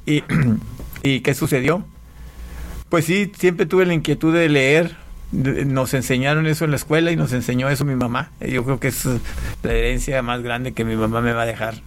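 A man from Panama, an interview guest, giving a personal testimony in a casual, halting way with repeated words. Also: mid-range voice, steady tone.

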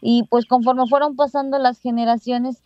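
A woman's voice: on the high side, flat delivery, moderate pace, no room sound, dull audio. A Mexican woman schoolteacher in her thirties with a Mexican Spanish accent, telling her story in an interview with many fillers and restarts. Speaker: bilingual schoolteacher.

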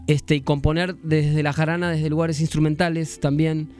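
Argentine-born musician, smooth clear voice. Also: male voice, a little high, level tone, moderate pace, darkish tone, soft background music. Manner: reflective, searching for words with many restarts. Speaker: musician guest. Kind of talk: radio interview.

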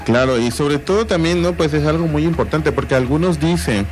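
A man hosting a radio interview, speaking in a measured way and tagging his points with rhetorical questions. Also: fairly high pitch. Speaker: radio host. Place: radio studio. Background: music.

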